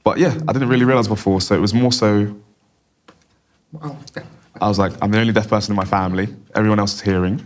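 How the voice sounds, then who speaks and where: A man, voicing a deaf guest's answers in first person as a sign language interpreter, in steady, flowing short clauses. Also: lowish voice, fast, pauses often, slight background noise.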